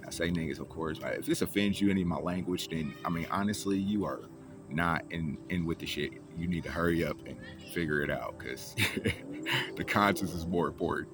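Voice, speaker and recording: A man's voice: deep voice, brisk. A young man telling his life story in a rambling, profane, casual way, with many restarts. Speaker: vlogger. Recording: loud music playing behind the voice.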